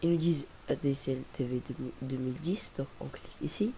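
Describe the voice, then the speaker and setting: A young man with a cold, talking through a casual screen-recorded software tutorial in a rambling, hesitant way. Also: medium pitch, a little fast, low-quality recording. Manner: rambling, hesitant, many fillers and restarts. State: has a cold. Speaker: tutorial presenter. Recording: faint hiss on home recording.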